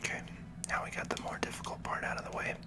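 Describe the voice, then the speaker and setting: A person whispering soft, unhurried ASMR commentary while narrating a video game playthrough step by step.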